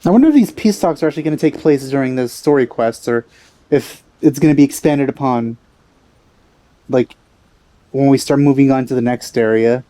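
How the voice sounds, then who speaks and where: Mellow-voiced male let's play commentator. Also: medium pitch, brisk. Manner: informal reactions, musing aloud. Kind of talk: casual gameplay commentary.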